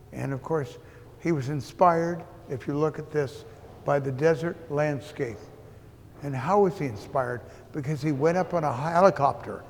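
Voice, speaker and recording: An elderly man guides an informal art tour in a voice full of fry and gravel. He speaks haltingly and deliberately, with a deadpan joking streak. His middling-pitched voice rings through a very reverberant indoor gallery. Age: 80s. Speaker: art gallery owner giving an exhibition tour. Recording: long echo of a hard-walled gallery.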